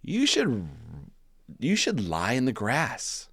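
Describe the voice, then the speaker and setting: A man's voice: mid-range voice, huge pitch swings, slow, a bit bright, slightly rough, no echo, studio quality, voice pushed up, exaggerated voice. A man voicing pushy, cheerful storybook animals, exuberant and singsong as they insist. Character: crowd of pushy, cheerful animals giving advice.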